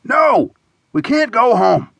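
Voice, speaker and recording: A man's voice: very high-pitched, big pitch swings, slow pace, creaky, slightly rough, dry recording, pitched above the performer's own voice, heavily acted. A man voicing a pushy, bored, childish friend in audiobook dialogue, whining and exclaiming. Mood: eager.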